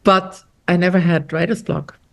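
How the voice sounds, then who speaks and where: A middle-aged woman from Austria, an author talking about her novel in an interview, animated and quoting others as she tells stories. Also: fairly low pitch, normal speed, creaky. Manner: animated storyteller, quotes others with lively shifts. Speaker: author guest. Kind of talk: conversational interview.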